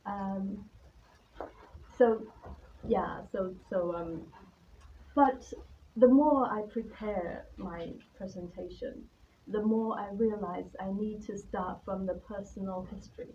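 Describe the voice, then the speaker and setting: A woman's voice: medium pitch, slow. A woman from Taiwan gives an informal, personal talk in a nervous, hesitant, candid manner. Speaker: artist-in-residence guest speaker. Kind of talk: informal spoken presentation about own life and work. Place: live talk at an art venue. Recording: distant mic, dull sound, faint background noise.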